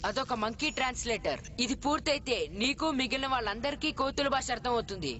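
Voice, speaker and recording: A woman playing an earnest, spirited young boy hero in an animated series dub. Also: sits high, fast, lo-fi sound, slight background noise.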